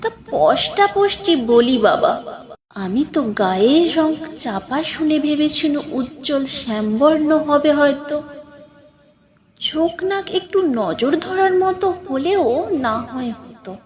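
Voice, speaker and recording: A woman's voice: high voice, lively intonation, takes it slowly, voice pushed up. A woman voicing a haughty, fault-finding old grandmother in an audio story, speaking bluntly and with disdain. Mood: disdainful.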